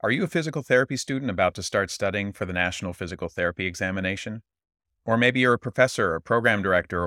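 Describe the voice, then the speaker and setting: A man with a bright, forceful tone and a fairly low voice reads a podcast sponsor message. His delivery is upbeat and scripted, recorded dry and close. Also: no echo. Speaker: podcast co-host reading sponsor ad. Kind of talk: read sponsor announcement.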